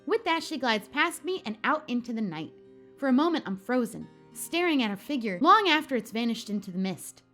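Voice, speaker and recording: A woman's voice: a little high, very animated, fairly quick, pushed and bright, faint music behind. A woman hosting a gaming video, playfully reacting and reading story narration aloud with drama. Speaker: host of a gaming channel. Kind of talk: let's play commentary with dramatic read-aloud narration.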